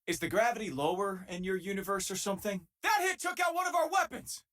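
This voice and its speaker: An actor playing an easygoing, wisecracking spacefaring companion in a video game, talking in a casual, wry, conversational way. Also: male voice, high voice, lively intonation, fast talker, a bit bright. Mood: incredulous, then urgent, shouting. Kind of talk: video game voice acting, conversational companion lines and combat callouts.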